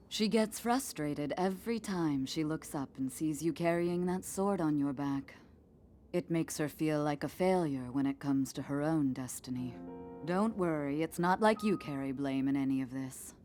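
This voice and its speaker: A woman playing a poised, protective warrior in a video game, speaking in a measured, knowing and solemn manner. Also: sits low, darkish tone, slight background noise. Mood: solemn, sympathetic. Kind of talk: video game cutscene acting.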